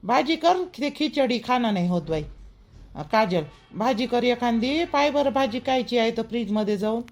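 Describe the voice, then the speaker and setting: Woman as middle-aged mother-in-law, Vidarbha Marathi accent, high voice, bossy, easygoing, cartoon dialogue. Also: lively intonation, moderate pace, darkish tone, faint music behind. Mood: matter-of-fact, directing. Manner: matter-of-fact, directing, permissive.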